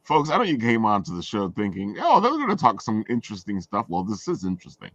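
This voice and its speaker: Animated man hosting, slightly dull sound. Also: fairly low pitch, fast, no echo. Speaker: show host. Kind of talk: casual group chat.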